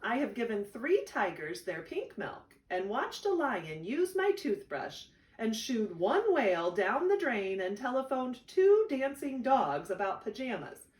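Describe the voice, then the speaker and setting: A woman's voice: on the high side, big pitch swings, unhurried, hardly any pauses, darkish tone. Woman playing storybook big brother, patient, coaxing, questioning, swooping intonation, echoey room. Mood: patient, exasperated. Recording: echoey room, distant microphone.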